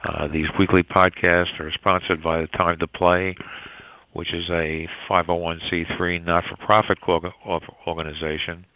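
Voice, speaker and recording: A man hosting a podcast, introducing it in a halting, wordy and formal manner over a phone line. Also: sits low, little pitch movement, no echo. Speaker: podcast host. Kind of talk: spoken introduction to a business podcast. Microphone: telephone call. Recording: tinny phone line, dry.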